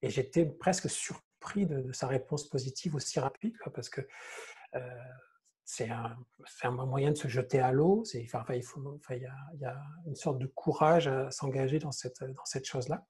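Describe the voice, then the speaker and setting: A man, an author speaking as a guest on an informal panel, casual and halting, often repeating his words. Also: medium pitch, a little mellow, husky. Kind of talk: informal live panel talk.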